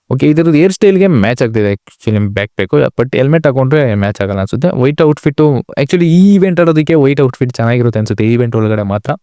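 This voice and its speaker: A man's voice: mid-range voice, dark tone, no echo, studio quality. A man giving casual game update commentary as a channel host, talking informally and directly to viewers with English words mixed in.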